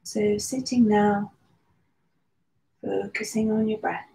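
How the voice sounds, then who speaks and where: A woman leading a guided meditation, speaking slowly and soothingly with long pauses. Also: mid-range voice, fairly even intonation, creaky, dark tone. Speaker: meditation and yoga teacher. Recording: roomy echo with faint background noise.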